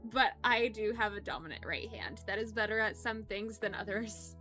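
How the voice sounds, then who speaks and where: A woman's voice: mid-range voice, moderate pace, pushed and bright, faint music behind. A young woman streaming, chatting casually with her viewers in a rambling, giggly way that often trips over words. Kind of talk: casual chat with live chat.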